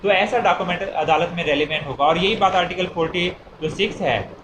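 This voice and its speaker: A man's voice: sits high, brisk. A man with a Pakistani accent lecturing on law in a didactic, step-by-step way, repeating himself as he explains through worked examples. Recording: echoey room with clear background noise.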